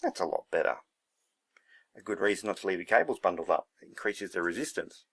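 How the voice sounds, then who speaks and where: A man's voice: sits low, expressive, fast talker, fairly bright tone, slightly rough. A man giving a casual hands-on demonstration, thinking aloud in a laid-back, unscripted way as he works. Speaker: hobbyist presenter.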